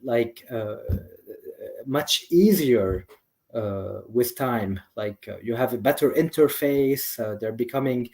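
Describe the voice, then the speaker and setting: A man of medium pitch speaks as a doctor and interview guest. His answers are conversational and enthusiastic, explaining things in an informal way. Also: slow pace, a little mellow. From Lebanese family, grew up in several countries.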